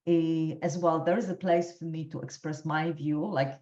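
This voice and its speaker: Woman supervisor, very low voice, roomy lo-fi sound, hesitant. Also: fairly even intonation, normal speed, warm tone. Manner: hesitant, thinking aloud with restarts. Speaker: coaching supervisor in discussion. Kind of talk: reflective discussion.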